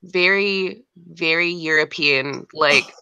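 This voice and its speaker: Bright-voiced speaker hedging in casual chat. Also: medium pitch, slow, smooth, dry recording, muffled recording. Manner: hesitant, many "like" fillers.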